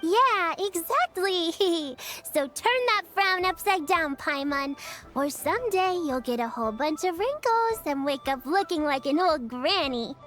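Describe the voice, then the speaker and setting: Game role: caring young girl apprentice. Bright-hearted, high, animated, earnestly encouraging. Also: unhurried, faint music behind, heavily acted. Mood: cheerful, encouraging. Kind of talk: video game character acting.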